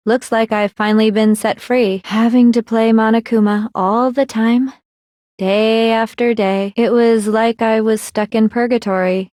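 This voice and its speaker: Smug, high-voiced girl mastermind played by an actress. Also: a little mellow, no echo, clean studio sound, lightly acted. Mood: playful, smug. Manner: composed, condescending, explanatory. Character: smug, coldly analytical teenage girl mastermind villain. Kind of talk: anime video game character acting.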